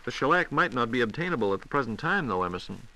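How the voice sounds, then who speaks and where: Man, fast-paced, bright forceful tone, polite announcer, 1940s radio host posing questions. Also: mid-range voice, very animated, poor audio. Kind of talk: semi-scripted 1940s radio question-and-answer. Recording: 1940s radio broadcast recording.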